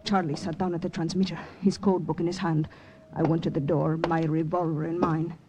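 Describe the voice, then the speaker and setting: A woman's voice: low-pitched, flat delivery, heavy background noise, close to an everyday voice. An actress playing a devoted, anxious wartime woman agent in an earnest, dramatic old-time radio style. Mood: grave recollection. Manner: earnest, dramatic, deliberate phrasing. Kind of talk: 1940s-50s radio drama acting.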